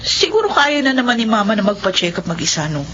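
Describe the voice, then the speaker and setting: An actress playing a busy, practical and slightly impatient grown daughter in a Filipino radio drama. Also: female voice, fairly high pitch, big pitch swings, fast talker, a bit bright. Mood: brisk, evasive. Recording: dull sound with faint hum and noise.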